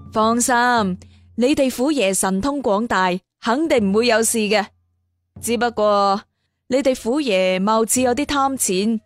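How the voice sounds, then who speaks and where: Woman voicing a composed, high-voiced young master. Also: lightly acted. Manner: calm, dryly mocking. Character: composed, teasing young martial arts master. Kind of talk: acted dialogue in an audio storytelling.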